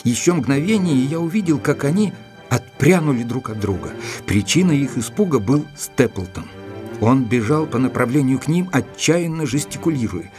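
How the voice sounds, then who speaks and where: An actor playing a composed gentleman who narrates a detective story as its loyal observer, in a measured storytelling manner. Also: mid-range voice, animated, faint music behind, natural voice. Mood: composed, watchful. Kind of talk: dramatised audiobook narration.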